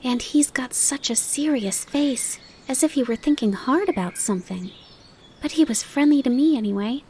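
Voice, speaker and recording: Storybook fawn, young boy, high and bright, breathless with wonder, read by a woman. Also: animated, moderate pace, a little hiss or hum. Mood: excited. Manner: breathless, wide-eyed questions. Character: eager young fawn boy, curious and excitable.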